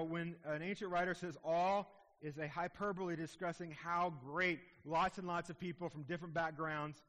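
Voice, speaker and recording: A man preaches with a high-pitched voice in a teaching tone, laying out a scripture passage point by point and checking in with his listeners. His voice rings with the long reverb of a large hall. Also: a bit bright. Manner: teaching, explanatory with frequent "okay?" asides. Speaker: pastor. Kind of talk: sermon.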